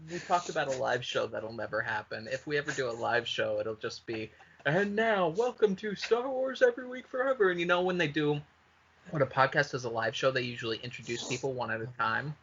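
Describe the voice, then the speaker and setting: A man hosting a casual comedic podcast, riffing excitably and swearing freely. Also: medium pitch, a little fast, dull audio, a little hiss or hum. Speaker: podcast host.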